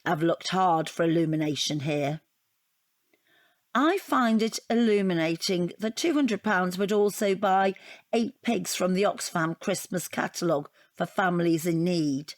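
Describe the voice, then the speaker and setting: An actress playing a wounded wife in an audio drama, rambling in a wry, deadpan and digressive way. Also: female voice, fairly low pitch, unhurried, lightly acted. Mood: defensive, hurt. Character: wounded, rambling wife dissecting her unwanted portrait gift. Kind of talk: audio drama monologue and dialogue.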